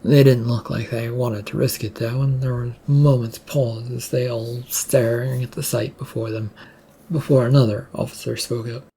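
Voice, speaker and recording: A narrator reading a scripted story aloud in a slightly halting, amateur way. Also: mid-range voice, flat delivery, dark tone, slight background noise.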